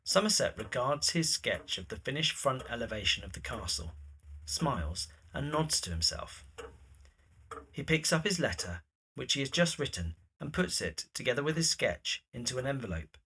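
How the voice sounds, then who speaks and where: A man's voice: medium pitch, very animated, moderate pace, bright, forceful tone, husky, rough recording. A man narrating the scenes of an audio drama from a script.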